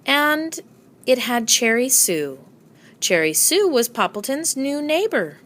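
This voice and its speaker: A woman narrating a children's storybook aloud with expressive storytelling delivery. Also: sits high, slow. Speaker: storybook reader.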